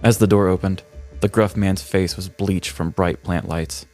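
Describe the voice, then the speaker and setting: Male podcast host, very low voice, scripted comedic crime narration over a music bed. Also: steady tone, a little slow, a little mellow.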